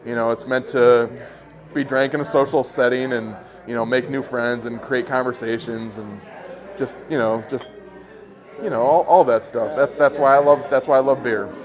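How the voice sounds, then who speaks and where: A journalist talks about beer in an easygoing, self-deprecating tone. His voice has an ordinary pitch. Soft music plays faintly behind him. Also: fairly even intonation, normal speed. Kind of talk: documentary interview.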